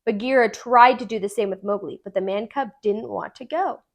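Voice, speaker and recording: Woman, fairly high voice, children's read-aloud, expressive storyteller, deliberate phrasing. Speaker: tutor reading aloud.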